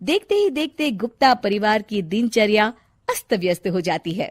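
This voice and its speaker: Lively woman storyteller. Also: mid-range voice, moderate pace. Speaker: story narrator. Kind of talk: scripted comic storytelling narration.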